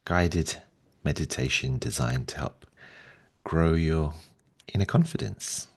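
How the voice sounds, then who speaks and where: A man leading a guided meditation in a gentle, soothing way, speaking slowly and softly with long pauses. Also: rumbling low, husky, no echo.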